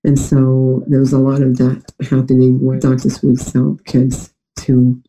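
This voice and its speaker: A man's voice: mid-range voice, completely flat delivery, unhurried, dark tone, faint music behind, low-quality recording. A young man with a New York-like accent and a congested, nasal voice, hesitantly telling personal stories as an interview guest. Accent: New York-like American. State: congested from a recent illness.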